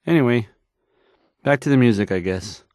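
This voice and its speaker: An actor playing a nervous, bumbling, insecure male radio DJ in a video game, with a halting, stammering, unsure delivery. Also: medium pitch, lively intonation, darkish tone, dry recording, studio quality, close to an everyday voice. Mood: awkward, unsure. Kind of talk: in-character radio news broadcast, video game voice acting.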